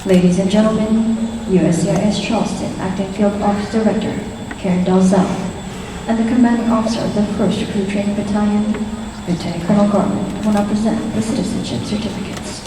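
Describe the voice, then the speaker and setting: A woman announcing at a formal ceremony, reading names and hometowns slowly and deliberately over a public address system. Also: medium pitch, fairly even intonation, noisy background. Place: large hall at a ceremony. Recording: distant PA voice in an echoey hall with a loud crowd.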